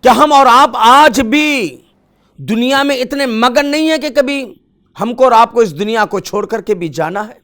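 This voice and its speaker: A man's voice: very high voice, animated, fairly bright tone. A man preaching a sermon, reciting verses and explaining them with rhetorical, rising emphasis.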